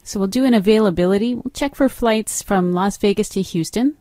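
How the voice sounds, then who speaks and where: A woman narrating an instructional software demo as a trainer, explaining step by step in an instructive way. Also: medium pitch, fairly quick, no echo, clean studio sound.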